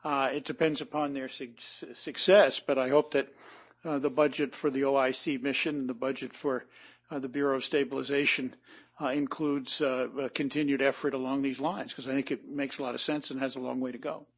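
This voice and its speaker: Measured man answering on a panel. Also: mid-range voice, level tone, breathy edge. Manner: measured, many "uh" pauses.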